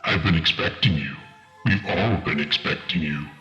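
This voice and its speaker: An extremely deep, hard-edged supervillain from an audio drama, taunting with sinister courtesy. The voice echoes through the space over faint music. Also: male voice, normal speed, sharp tone, muffled recording. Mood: sinister, gloating. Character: menacing male villain, taunting, smooth and sinister.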